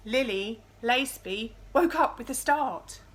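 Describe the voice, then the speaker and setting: A woman reading a picture book aloud to young children in an expressive storytelling style, with chatty questions to the listeners. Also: fairly high pitch, unhurried, a little hiss or hum. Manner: addresses young listeners with questions and dramatised sound words.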